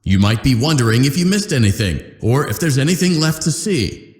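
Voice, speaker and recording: Man doing a slick corporate announcer, lively pitch, roomy echo, upbeat game voice. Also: a little fast, close to an everyday voice. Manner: upbeat, sales-pitch polish. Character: cheery male corporate training announcer, glib. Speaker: company announcer. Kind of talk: scripted game voice lines.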